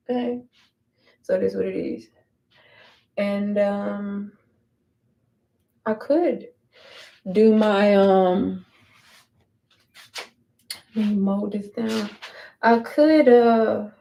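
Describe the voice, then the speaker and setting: A woman's voice: medium pitch, steady tone, slow pace, vocal fry, long pauses, dark tone. Brief: woman livestreamer, casual, blunt, swears freely, repeats lines, phone mic at home. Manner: blunt, profane, chatty, repeats herself. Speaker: livestream vlogger. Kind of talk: casual chat while doing her hair.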